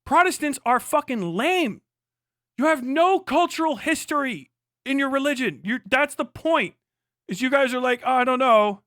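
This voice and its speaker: A man's voice: extremely high pitch, expressive, normal speed, a bit bright, no room sound, studio quality. A man live streaming a casual rant, speaking in a profane, sarcastic, mocking way. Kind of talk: casual livestream rant and commentary.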